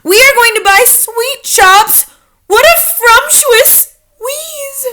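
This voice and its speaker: A woman's voice: very high-pitched, expressive, unhurried, bright, forceful tone, pitched above the performer's own voice, full character voice. A woman voicing a crowd of fawning female witches who chant their eager agreement.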